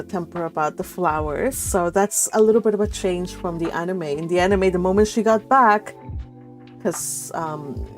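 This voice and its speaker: A woman hosting a read-along, talking casually about a book in a giggly, animated, rambling way. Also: on the low side.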